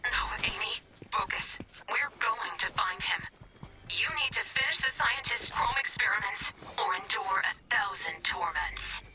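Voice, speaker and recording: A woman's voice: medium pitch, a little slow, lightly acted. An actress voicing a sarcastic female robot from a video game, with a dry, sardonic delivery. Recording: distant voice over loud game music. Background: game music.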